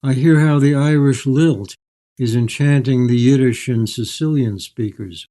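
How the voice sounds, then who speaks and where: Older male author, mellow tone, reflective storytelling with articulate, measured delivery. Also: medium pitch. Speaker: author, interview guest. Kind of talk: reflective storytelling, reading and interview.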